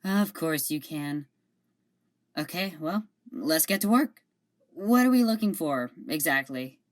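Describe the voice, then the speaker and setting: A woman in an amateur audio drama playing a deadpan, seasick ship security guard. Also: deep voice, unhurried. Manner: dry, deadpan.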